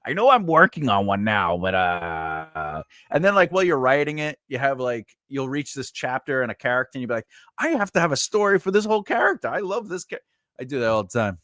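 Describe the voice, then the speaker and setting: A man's voice: mid-range voice, sing-song, fairly quick, bright, forceful tone, no echo, clean studio sound. A man in his forties, a writing teacher and editor, chatting casually and rambling with laughs during a live Q&A. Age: 40s.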